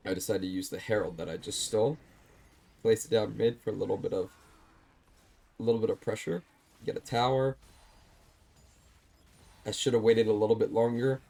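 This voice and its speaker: A man calmly walking through a replay of his own game, explaining his choices, with a slightly stuffed-up voice. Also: lowish voice. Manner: calm, explanatory, self-deprecating. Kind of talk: gameplay commentary over a replay.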